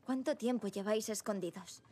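A woman's voice: mid-range voice, moderate pace, darkish tone, slightly rough, close to an everyday voice. An actress playing an outspoken, friendly fourteen-year-old girl survivor in a video game dub. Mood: friendly, curious.

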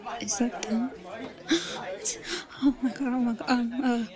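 A woman's voice: on the high side, level tone, a little slow, a crowd in the background, lightly acted. A woman playing a shy, bullied young student with an obsessive streak, in a hesitant, stammering delivery for a roleplay audio drama. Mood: flustered, panicky.

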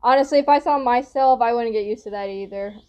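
A woman's voice: on the high side, fast talker, dark tone, highs cut off. A young woman giving casual reaction commentary as she hosts a video, recorded indoors in a small room. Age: young adult.

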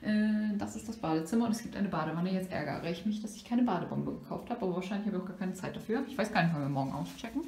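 A woman's voice: on the low side, quick pace, few pauses, warm tone. A young woman chatting casually to her vlog in speech, rambling with sarcastic asides. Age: young adult. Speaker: vlogger. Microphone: phone or camera at arm's length. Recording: noisy handheld vlog audio.

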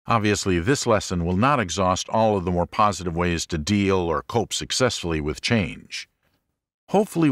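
A man narrating a religious self-help audiobook in a measured, deliberate way with clear phrasing. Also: low voice, no echo, clean studio sound.

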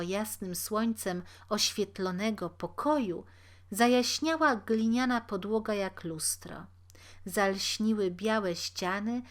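A woman narrating a literary fairy tale aloud in an expressive, deliberate storytelling manner. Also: medium pitch.

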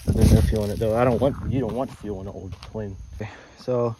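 A man casually vlogging as he explores old airplanes in a rural field, excited, swearing and talking to his viewers on a phone camera. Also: lowish voice, fast talker. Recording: phone audio outdoors with insect noise. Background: insects and crickets outdoors.

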